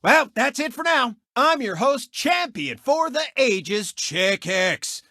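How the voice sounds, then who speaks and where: Cocky, high-pitched cartoon race car host. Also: male voice, sing-song, takes it slowly, bright, forceful tone, dry recording, studio quality, exaggerated voice. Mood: boastful. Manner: boastful, mocking, showy broadcaster delivery. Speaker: TV sports show host and racer. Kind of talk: video game character clips, hammy sportscast commentary.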